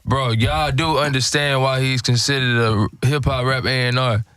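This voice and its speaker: A man's voice: mid-range voice, fairly even intonation, normal speed, a bit bright, clear, resonant tone. An American man co-hosting a podcast, debating casually with plenty of slang and swearing. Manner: slangy, profane. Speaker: podcast co-host.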